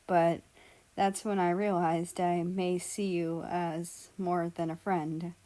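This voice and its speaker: A big, shy young man from a storybook, acted by a woman reader, speaking slowly and haltingly. He is earnest and hesitant. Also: lowish voice, dark tone.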